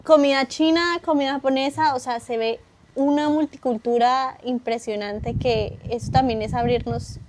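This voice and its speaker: A woman's voice: high-pitched, fairly even intonation, normal speed, a little mellow, a little hiss or hum. A Colombian woman hosting an interview, agreeable, nodding along with short affirmations and adding her own thoughts. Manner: agreeable, short affirmations, builds on the guest's points. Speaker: host. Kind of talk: conversational interview.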